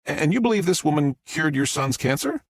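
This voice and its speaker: A man voicing a woman TV news reporter speaking in a crisp live-broadcast manner. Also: on the high side, big pitch swings, brisk, dry recording, studio quality, pitched above the performer's own voice. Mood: probing. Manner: crisp newscaster delivery.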